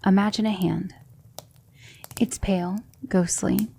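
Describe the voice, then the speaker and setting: A woman playing a patient, composed demon magic teacher in a soft-spoken ASMR roleplay, measured and reassuring. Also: mid-range voice, steady tone, exaggerated voice. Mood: coaxing, instructive. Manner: measured, instructive, reassuring, with hushed breathy dips.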